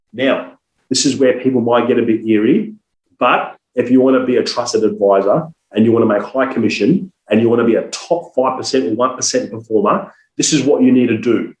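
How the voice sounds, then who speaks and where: A man's voice: medium pitch, darkish tone, reverberant, low-quality recording. A man with a cold, speaking as a sales coach guest on a podcast interview. He talks emphatically and persuasively, leaning on rhetorical questions. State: has a cold.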